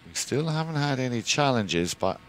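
A man's voice: mid-range voice, very animated, a little hiss or hum, poor audio. Man calling a polo match. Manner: measured, lifts with the action. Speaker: polo commentator. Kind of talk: live sports commentary.

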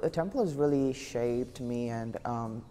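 A young man from Uganda, a senior business student, answering interview questions politely with a few pauses. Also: medium pitch, mellow, in a large hall, slight background noise. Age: young adult. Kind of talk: outdoor vox pop interview. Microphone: lapel.

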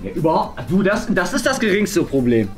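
A man in his thirties talks casually, his pitch swinging very widely. He pokes fun at himself and teases those around him. Faint music plays behind him. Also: big pitch swings, fairly quick. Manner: self-deprecating, teasing. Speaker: participant in a painting challenge. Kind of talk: casual chat. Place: indoor children's room. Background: background music.

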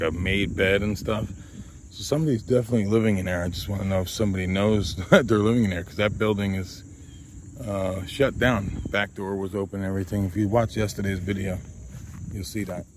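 A man with a fairly low voice talks casually and loosely, like a hobbyist vlogger out in a field. There is a faint layer of outdoor noise with insects behind him. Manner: rambling, talks to his dog. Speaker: vlogger testing handheld radios. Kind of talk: casual chat with radio test calls. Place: outdoors in a grassy field by woods.

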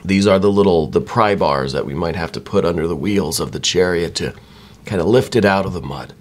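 Low voiced guy reading tarot cards, slightly croaky, keeps asking "right?". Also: fairly quick. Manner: musing, repeats "right?" often. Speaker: tarot reader. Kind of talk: soft-spoken tarot reading.

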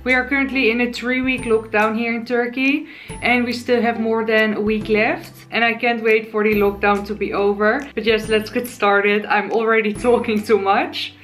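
A Dutch woman in her twenties with a Dutch accent, chatting on camera from her living room as she teaches basic words, and slowing down to spell them out. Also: a little high, flat delivery, a bit bright, faint music behind. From Netherlands. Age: 20s. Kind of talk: casual vlog lesson. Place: living room, sofa, indoors. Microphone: camera in front, seated.

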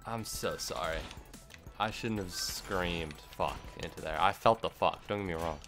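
A young man streaming a game from home, his voice hoarse and gravelly from screaming, rambling and swearing as he plays. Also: sits low, unhurried, a bit bright, faint music behind. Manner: rambling, swears when frustrated. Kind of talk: live gameplay stream chat. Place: home room.

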